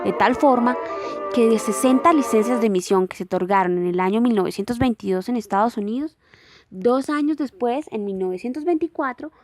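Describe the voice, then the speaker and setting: High-voiced woman narrator over loud music. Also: a little fast. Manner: formal, reading from a script. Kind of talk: scripted documentary narration.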